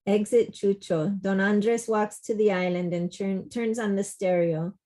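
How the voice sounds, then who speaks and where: A woman narrates a play's stage directions at an online table read. Her voice is mellow and dark, set at a middling pitch, plainly scripted. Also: fairly even intonation, measured pace. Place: indoors, on a video call.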